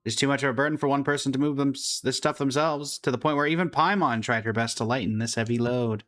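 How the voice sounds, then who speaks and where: A man's voice: mid-range voice, quick pace, a bit bright. A man casually commenting on a game he is playing and reading its text aloud as a streamer. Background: game music.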